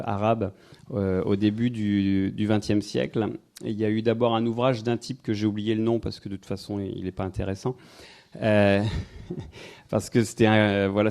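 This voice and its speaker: A man's voice: lowish voice, a little mellow. A man giving an informal talk to a live audience, rambling and full of hesitations and wry asides. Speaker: speaker giving a talk on incense history. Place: festival evening event, indoors.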